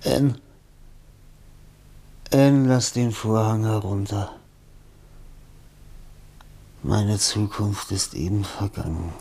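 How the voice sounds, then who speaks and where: An actor in a radio play as a dying, feverish, broken writer, husky and gravelly, speaking in halting fragments. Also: male voice, on the low side, steady tone, mellow, exaggerated voice. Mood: weary, bitter. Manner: halting, murmuring, fragmented speech.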